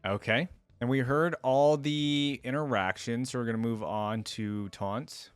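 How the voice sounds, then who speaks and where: A man's voice: medium pitch, fairly even intonation, unhurried, clean tone, dry recording, faint music behind. A man hosting a reaction video, giving casual off-the-cuff commentary as he goes.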